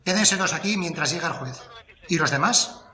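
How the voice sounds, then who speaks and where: A man's voice: fairly high pitch, animated, moderate pace, bright, forceful tone, echoey room. A man playing a commanding, methodical police inspector in a dramatised crime audiobook. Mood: authoritative. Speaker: actor in an audiobook.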